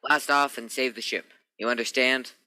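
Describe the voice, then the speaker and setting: An actor playing a firm spaceship captain in a science fiction radio play, giving orders with authority. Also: male voice, medium pitch, fairly even intonation, normal speed, bright, forceful tone, smooth. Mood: resolute.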